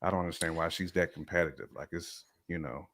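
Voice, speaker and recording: A man's voice: low-pitched, fast talker, fairly bright tone. A man giving casual reaction commentary as a co-host.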